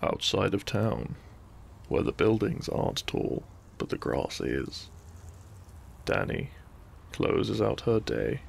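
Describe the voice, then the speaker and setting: A man narrating a gentle bedtime story in ASMR style, soft, breathy and soothing, often close to a whisper. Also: low-pitched, very animated, takes it slowly, long pauses. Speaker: sleep-aid audio narrator.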